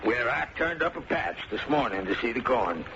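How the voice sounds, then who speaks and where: An actor playing a talkative, touchy old farmer with a folksy rural American drawl and creaky voice in an old-time radio drama. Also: male voice, medium pitch, big pitch swings, normal speed, sharp tone. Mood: proud, secretive. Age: older. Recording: muffled, noisy old radio recording.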